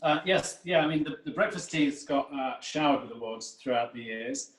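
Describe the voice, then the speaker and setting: Echoey, distant man pitching on a call. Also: moderate pace. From Northern Ireland. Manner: chatty, hesitant, uh and um fillers. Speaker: drinks company co-founder. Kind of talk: casual product pitch on a video call. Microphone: video call.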